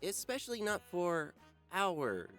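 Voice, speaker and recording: An earnest male schoolteacher in a game, with a fairly high voice. He is ponderous and dramatic, pausing carefully, his pitch moving widely. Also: very animated, a bit bright, faint music behind, full character voice. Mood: grave. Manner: measured, dramatic pauses.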